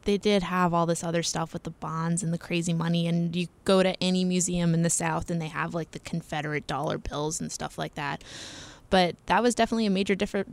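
A young adult woman hosting a podcast, talking in a chatty, playful way and laughing often. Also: on the low side. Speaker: podcast host. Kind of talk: casual podcast chat.

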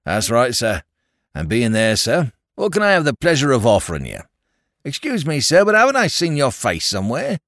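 A man putting on a cheery Cockney landlord in his fifties who chatters eagerly in a lively, bouncing voice, with dropped grammar and a raised pitch. The recording is dry and close. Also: normal speed, dry recording. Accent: London Cockney. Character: cheery Cockney male landlord, about fifty-five.

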